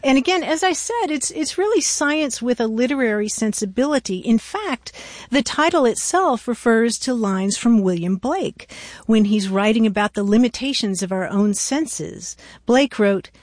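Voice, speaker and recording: Female radio co-host, slightly high voice, conversational delivery, dry studio sound. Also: expressive, moderate pace, darkish tone, no echo. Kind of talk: conversational book review and radio hosting.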